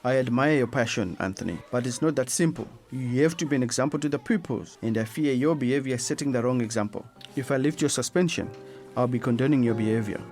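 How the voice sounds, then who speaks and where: An actor playing a stern but sympathetic headmaster in a radio drama, with a formal, exasperated manner. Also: male voice, medium pitch, quick pace, a little mellow, faint music behind, natural voice. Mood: measured, firm. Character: stern, rule-bound headteacher, sympathetic but unyielding.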